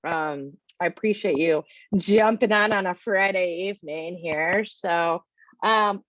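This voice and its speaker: A woman hosting a podcast who chats casually and reacts with loud exclamations. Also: fairly low pitch, slow pace, dry recording, highs cut off. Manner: chatty and exclamatory. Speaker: podcast host. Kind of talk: casual interview.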